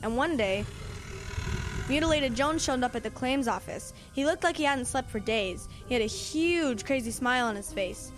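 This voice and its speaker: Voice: high-pitched, lively intonation, moderate pace, fairly bright tone, soft background music. A young performer playing a brash, sarcastic kid who talks bluntly and in slang in an audio drama. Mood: eager storytelling. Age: child. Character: brash, sarcastic wisecracking kid, eager storyteller. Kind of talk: youth audio drama acting.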